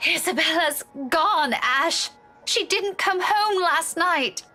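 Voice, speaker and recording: A young schoolteacher in a game drama, a fussy, insistent worrier with a sharp tongue. Her voice is high and bright and swings widely in pitch. Faint music sits behind it. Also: animated, slow, heavily acted. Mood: panicked, worried. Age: young adult. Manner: urgent, insistent. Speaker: game voice actor. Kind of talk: dramatic visual novel dialogue.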